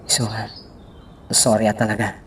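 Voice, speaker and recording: A man's voice: lowish voice, completely flat delivery, moderate pace, a little hiss or hum, close to an everyday voice. A man acting as a hesitant, earnest young suitor in an Ilocano radio drama. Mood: apologetic.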